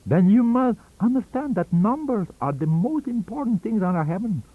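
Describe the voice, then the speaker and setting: A man voicing a cunning, wheezy old foreign scientist-magician in an audiobook, with a theatrical, halting and emphatic delivery. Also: sits high, expressive, pitched above the performer's own voice. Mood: mystical, instructing. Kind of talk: audiobook character reading. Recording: dull lo-fi audio cassette.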